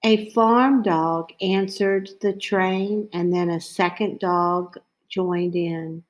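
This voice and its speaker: A woman reading a children's picture book aloud as a storyteller, in a deliberate way. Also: on the low side, fairly even intonation.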